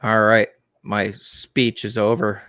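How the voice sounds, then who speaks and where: A man hosting a live stream about the aquarium hobby, talking casually in a monotone, with many fillers and restarts. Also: lowish voice, smooth, no room sound, clean studio sound. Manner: plain, unhurried talk with many fillers and restarts.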